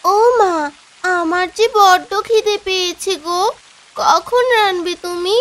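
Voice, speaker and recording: Casting: female actor for a devoted small cartoon daughter, very high, childlike, plaintive. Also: steady tone, slow, fairly bright tone, exaggerated voice. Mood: hungry, whining. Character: sweet little village daughter, devoted to her father. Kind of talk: Bengali fairy-tale cartoon dubbing.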